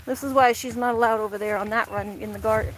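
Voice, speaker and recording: A woman's voice: on the high side, fairly even intonation, quick pace, slight background noise, poor audio. A young woman chatting casually to camera while doing farm chores outdoors, laughing often and cooing at her animals. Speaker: farm vlogger.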